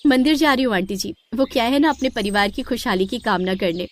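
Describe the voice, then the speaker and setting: A woman's voice: a little high, lively intonation, fairly quick, a little hiss or hum, lightly acted. A woman voicing a polite young new bride who makes a show of virtue in a moral story. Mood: sweetly pious. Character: sweet, falsely pious young new bride. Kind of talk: acted moral-story dialogue.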